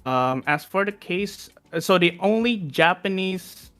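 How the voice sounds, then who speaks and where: A man's voice: high voice, lively intonation, a little slow, fairly bright tone, clean tone. A young man from Indonesia speaking English with an Indonesian accent, a music composer answering interview questions casually and hesitantly, with many ums and self-corrections.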